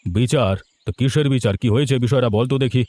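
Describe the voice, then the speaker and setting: A man playing a kindly, encouraging village gentleman in a cartoon, hearty and fond of repeating words. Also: medium pitch, fast, close to an everyday voice. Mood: concerned. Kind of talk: Bengali cartoon voice acting.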